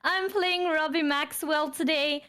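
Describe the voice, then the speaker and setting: A giggly, playful woman with a stuffy, congested voice from a cold. Her voice is high and her pace slow. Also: steady tone, fairly bright tone. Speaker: player.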